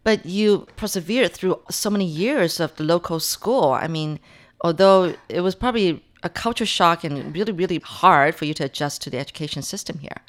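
A middle-aged woman with a low, grounded voice hosts an interview show. She talks in a loose, chatty way, nudging her guest along with friendly questions and little fillers. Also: normal speed. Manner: chatty, encouraging, frequent fillers. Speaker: radio show host. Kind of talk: conversational interview.

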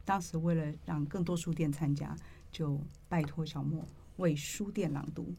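A woman hosting an interview in a measured, earnest, reflective way. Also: deep voice, fairly even intonation, vocal fry, dark tone, a little hiss or hum. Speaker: interview host.

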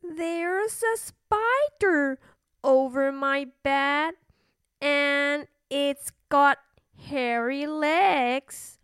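Woman voicing a very high, whiny little princess. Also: a bit bright, no echo, clean studio sound, full character voice. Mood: protesting. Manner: whiny, drawn-out protesting. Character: stubborn, whiny little princess, a young girl.

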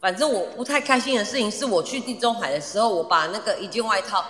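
A woman with a bright, forward voice co-hosts a casual group chat. She is chatty and exclamatory, and the sound is echoey with a long reverb. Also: medium pitch, fast talker. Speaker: show co-host. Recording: echoey reverberant online audio room.

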